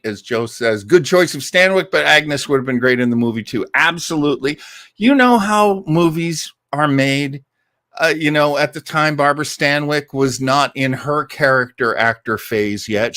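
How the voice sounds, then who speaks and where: A man's voice: mid-range voice, fairly bright tone, no room sound. Chatty, enthusiastic American man hosting, dry sound. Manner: enthusiastic, effusive about favourites. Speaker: host of an old-time radio listening livestream. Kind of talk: casual livestream chat with listeners.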